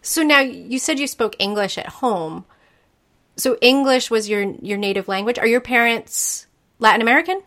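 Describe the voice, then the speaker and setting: A woman's voice: a little high, animated, moderate pace, a bit bright. A woman hosting a podcast, introducing her guest and chatting with her in an enthusiastic, eager way. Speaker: podcast host. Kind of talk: casual interview chat.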